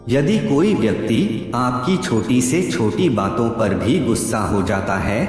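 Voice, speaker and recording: A man's voice: mid-range voice, expressive, unhurried, a little mellow. Guy narrating facts from a script, big long reverb, music behind him. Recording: heavy echo, long reverb, background music.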